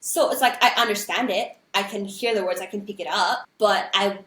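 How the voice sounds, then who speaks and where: A woman's voice: medium pitch, normal speed, fairly bright tone. Bubbly young woman from Singapore, roomy sound. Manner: reflective and bubbly, many "like" and "right?" tags. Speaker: guest singer and content creator. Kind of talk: casual podcast conversation. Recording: roomy echo.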